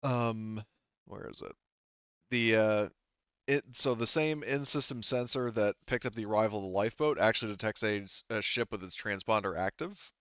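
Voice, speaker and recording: A man acting as game master, improvising tabletop narration in a halting, casual way and often backtracking. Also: fairly low pitch, a bit bright, clear, resonant tone, dry recording, highs cut off.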